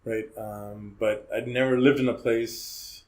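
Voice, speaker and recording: A man's voice: on the low side, steady tone, slow pace, darkish tone. A man in his forties who grew up in Hong Kong, a guest in a casual podcast chat, talking freely and often ending sentences with "right?". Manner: chatty storyteller, tags sentences with "right?". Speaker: podcast guest, criminal defense lawyer.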